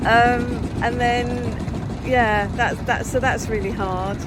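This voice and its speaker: A fairly high-pitched woman talks casually to her camera, rambling through fillers and restarts with a sore throat. She is out on a river boat, and loud engine and water noise sits under her voice. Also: fairly even intonation, slow pace. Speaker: travel vlogger. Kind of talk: casual travel vlog narration.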